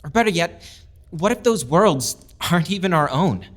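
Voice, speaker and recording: A man's voice: fairly high pitch, animated, moderate pace, a bit bright. An actor playing a passionate young lieutenant who speaks up for his people with earnest conviction. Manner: earnest, persuasive speech-making. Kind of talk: audio drama acting.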